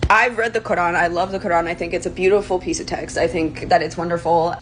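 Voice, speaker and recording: A woman's voice: lowish voice, fairly even intonation, fast talker, slight background noise. A woman talking casually to camera in a gushing, chatty way. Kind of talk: casual video talk.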